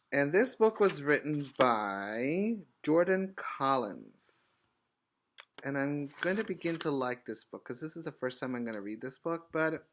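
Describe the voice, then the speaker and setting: Man as preschool teacher reading to little ones: slow, emphatic, bright delivery, stops to explain and ask questions. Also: medium pitch, a little hiss or hum. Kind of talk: expressive storybook read-aloud with asides to children.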